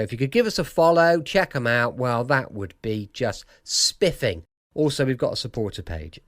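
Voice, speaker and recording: Animated British man hosting a podcast. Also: mid-range voice, no room sound, clean studio sound. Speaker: podcast host. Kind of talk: chatty podcast introduction.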